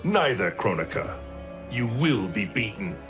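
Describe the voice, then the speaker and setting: A man's voice: on the low side, sing-song, measured pace, loud music behind the voice. An actor playing a stern, righteous thunder god in a video game, solemn and resolute in his delivery. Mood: stern, defiant. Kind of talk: dramatic video game hero acting.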